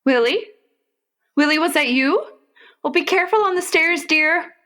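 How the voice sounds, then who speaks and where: A woman's voice: extremely high pitch, normal speed, bright, forceful tone. A woman playing a devoted, wry older wife and mother in a comic radio play, tender with a dry wit. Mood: caring, concerned.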